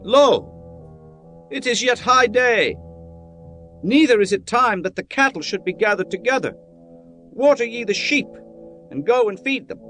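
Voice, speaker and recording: A man's voice: extremely high pitch, lively intonation, measured pace, pauses often, sharp tone, faint music behind, exaggerated voice. A man voicing a devout young patriarch in a dramatized scripture reading, solemn and formal. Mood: questioning. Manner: solemn, archaic formal diction. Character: devout young male patriarch, earnest and pleading.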